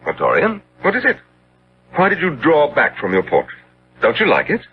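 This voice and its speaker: An actor playing an earnest, protective Victorian portrait painter in a dramatic 1970s radio style. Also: male voice, a little high, wildly swooping pitch, moderate pace. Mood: puzzled. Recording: dull, noisy 1970s radio recording.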